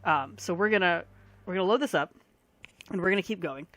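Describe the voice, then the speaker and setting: A tired gaming video creator, short on sleep and fighting allergies, giving a casual rambling commentary full of pauses. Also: medium pitch, a bit bright. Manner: rambling, many pauses and fillers. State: voice affected by allergies.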